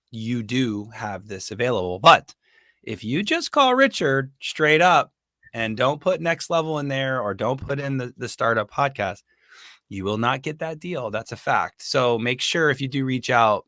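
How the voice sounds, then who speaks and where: Hoarse dude hosting a podcast, sharp bright voice, super dry mic, says dude a lot. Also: male voice, medium pitch, no echo. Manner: laid-back, joking, says dude a lot. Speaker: podcast host. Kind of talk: casual interview chat.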